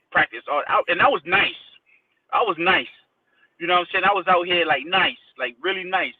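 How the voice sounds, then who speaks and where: A man from Haiti who moved to America as a child, chatting informally as an interview guest, using slang and repeating phrases for emphasis. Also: a little high. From Haiti, then Connecticut from age six. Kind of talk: casual chat, personal recollection. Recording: tinny phone-like line, distant from mic.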